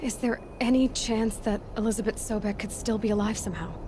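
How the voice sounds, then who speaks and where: A woman's voice: on the high side, fairly even intonation, brisk, background noise, lightly acted. An actress playing a determined young female hunter, blunt and questioning. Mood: inquiring. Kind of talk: video game cutscene acting.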